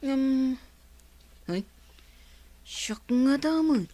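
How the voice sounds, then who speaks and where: A woman's voice: high-pitched, lively intonation, extremely slow, dark tone, no echo, heavily acted. A woman with an Ilocano Filipino accent playing a cheeky, playful comic servant in a radio drama.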